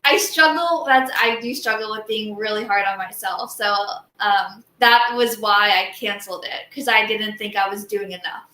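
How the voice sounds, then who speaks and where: A woman's voice: medium pitch, bright, forceful tone, echoey room, rough recording. A woman from Southern Florida, a guest entrepreneur, talking in a chatty way and often saying "like" during an interview over a video call. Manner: chatty, fast, says "like" often. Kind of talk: casual interview.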